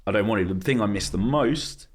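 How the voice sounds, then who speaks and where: A male podcast host chatting casually in a relaxed way, laughing easily. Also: lowish voice, a little fast, husky.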